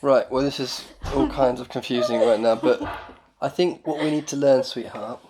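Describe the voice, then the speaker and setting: A man's voice: mid-range voice, fairly even intonation, moderate pace, a crowd in the background. A man speaking as a father in a casual bedtime chat with his young child, questioning and patiently explaining with mock concern.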